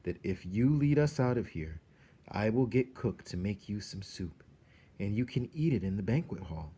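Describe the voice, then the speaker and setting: A man's voice: fairly low pitch, animated, normal speed, mellow, husky. A man as an earnest, lilting young princess. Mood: kindly. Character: gentle, earnest young princess, kindly and resolute.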